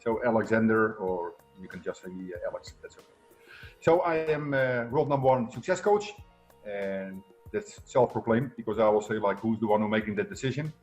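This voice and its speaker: A man's voice: lowish voice, animated, a little fast, lo-fi sound, soft background music. Middle-aged Dutch-accented man, interview guest. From Netherlands. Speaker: guest, success coach and entrepreneur. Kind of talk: conversational interview.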